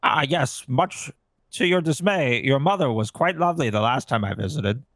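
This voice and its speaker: A man's voice: medium pitch, lively intonation, moderate pace, a bit bright, no room sound, studio quality. A man playing a tabletop game, speaking in character with a cheeky, mock-formal manner.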